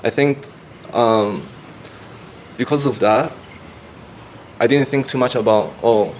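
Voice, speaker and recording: A man whose first language is Japanese, telling his story as a podcast guest in a relaxed, easygoing way. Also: mid-range voice, slow, a little hiss or hum. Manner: relaxed storytelling, easy laughs. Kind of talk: casual conversation.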